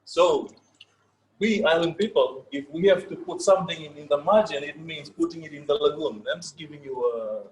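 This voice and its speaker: Halting Fijian man lecturing. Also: fairly high pitch, darkish tone, poor audio. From Fiji. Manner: halting, repeats words, pauses, checks in with listeners. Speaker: session leader. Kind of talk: informal lecture.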